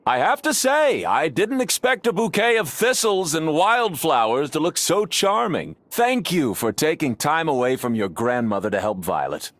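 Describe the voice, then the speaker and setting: Courteous village man for a game: highish voice, hugely varied intonation, appreciative manner. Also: sing-song, moderate pace, hardly any pauses, fairly bright tone, no echo. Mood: pleasantly surprised, grateful.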